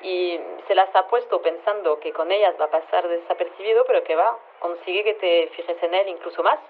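A woman's voice: lowish voice, a little fast, slight background noise. An actress playing a matter-of-fact, slightly flustered female lab chief, hesitant and rambling, interviewed over a telephone line. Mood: matter-of-fact, excusing. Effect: telephone filter. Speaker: scientist interviewed by phone. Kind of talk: conversational radio phone interview. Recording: tinny narrow phone line.

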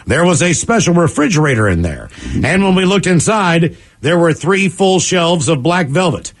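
A man in his forties, a radio host reading listener emails in an animated, jokey way. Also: fairly high pitch, moderate pace. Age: 40s. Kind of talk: morning radio show.

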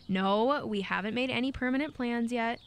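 A woman's voice: a little high, normal speed, clear, resonant tone, close to an everyday voice. A woman playing a polite, practical and somewhat hesitant young mother in a radio play.

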